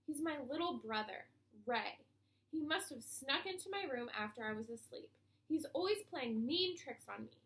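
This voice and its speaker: A woman voicing an excitable, indignant young schoolgirl who exclaims and complains in a children's storybook. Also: sits high, normal speed, roomy sound. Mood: aggrieved. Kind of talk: children's storybook read-aloud.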